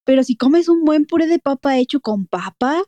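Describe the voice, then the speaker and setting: A woman's voice: high voice, expressive, normal speed, mellow, no echo. A young woman with a Mexico City accent, chatting casually as podcast co-host and reviewing a film, laughing often and stretching out her fillers. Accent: Mexico City Spanish.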